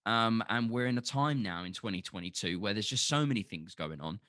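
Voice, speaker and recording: A man's voice: on the low side, expressive, fairly quick, pushed and bright, no echo, studio quality. A middle-aged man from London with a Cockney accent, speaking passionately as a podcast guest with restarts and the odd swear word. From London, England. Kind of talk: casual podcast conversation.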